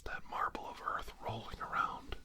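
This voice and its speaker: A man giving a guided ASMR healing session in a breathy, mostly unvoiced whisper, soothing and hushed, in short phrases with long pauses. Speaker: ASMR reiki healer.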